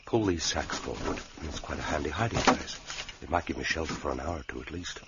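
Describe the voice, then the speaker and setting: A very deep-voiced secret agent mutters his thoughts in terse, dramatic bursts. His delivery swings expressively in a radio thriller. The recording is dull and noisy. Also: lively intonation, fast. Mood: wary, wry. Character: male secret agent, grimly determined, narrating his thoughts. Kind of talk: radio drama internal monologue.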